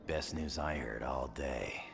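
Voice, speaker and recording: An actor playing a blunt, tough male mutant with a gravelly, growling voice. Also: very deep voice, takes it slowly, fairly bright tone, in a large hall, soft background music, exaggerated voice. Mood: gruff. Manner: curt, growling.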